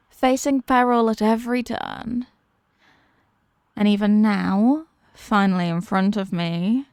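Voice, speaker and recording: A woman playing a menacing, mocking demon villainess in a fantasy audio roleplay, theatrical and taunting, with deliberate dramatic pauses. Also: mid-range voice, darkish tone, dry recording, studio quality. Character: possessing demon villainess, menacing, mocking, tormented by borrowed love.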